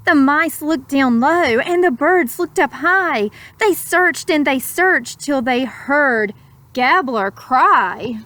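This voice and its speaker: A woman reads a children's story aloud at an unhurried, slow pace. Her voice is high and expressive, dramatizing the lines and adding simple asides for young listeners. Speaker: storybook reader.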